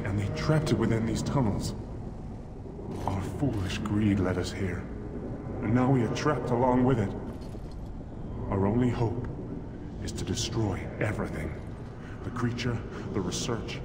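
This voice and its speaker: Man as low, echoing, grim dwarf researcher. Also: very noisy, close to an everyday voice. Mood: grim, regretful. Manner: ominous, deliberate. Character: grim, regretful dwarven researcher trapped underground. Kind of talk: dramatic scripted monologue. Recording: echoey chamber, loud rumbling ambience. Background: loud ambience.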